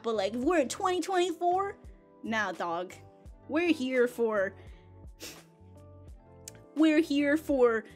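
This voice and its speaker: A young woman hosting an anime reaction video, rambling loosely and swearing often as she laughs between thoughts into a studio mic. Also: on the high side. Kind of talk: casual reaction commentary. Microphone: large studio mic in front.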